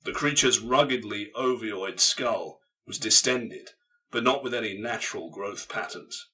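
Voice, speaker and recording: A man narrates an audiobook from noticeably back from the microphone. His voice is low and sharply forceful, and he delivers dramatic prose in grave, measured, emphatic phrases. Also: far from the mic, rough recording.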